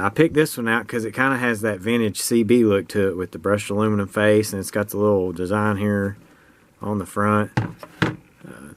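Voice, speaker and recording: A man's voice: fairly low pitch, a bit bright, a little hiss or hum. An American man giving a casual, unscripted hands-on explanation of a homemade project in a plain-spoken, folksy way. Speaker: hobbyist presenter demonstrating a homemade radio project.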